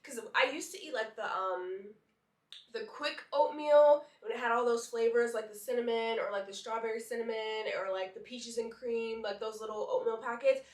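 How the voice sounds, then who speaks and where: A young woman with a somewhat high, nasal voice from a cold, chatting to her vlog camera and dropping "like" all through. She sounds a little distant from the mic, and her voice rings in an echoey room. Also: normal speed. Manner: chatty, leans on "like" fillers. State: congested from a cold. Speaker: vlogger and model. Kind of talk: casual chat to camera.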